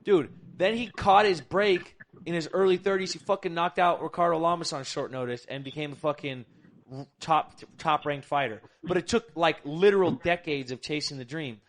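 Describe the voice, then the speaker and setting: A man's voice: fairly high pitch, normal speed. A young adult man hosting a podcast, talking bluntly and emphatically with lots of swearing. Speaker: podcast host. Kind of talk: casual podcast chat.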